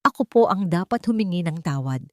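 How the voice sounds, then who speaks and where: A grieving young billionaire heir in an audio story speaks in a hushed voice that keeps breaking, voiced by a woman narrator. The voice sits low and close, with a dry, bare sound. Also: lively intonation, a little fast, no room sound, lightly acted.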